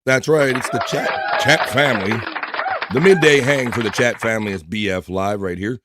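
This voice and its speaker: A hoarse man hosting a livestream, chatting casually and shouting out viewers' names in an upbeat way. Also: medium pitch, moderate pace, heavy background noise. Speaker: livestream host. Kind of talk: casual chat, reading chat messages.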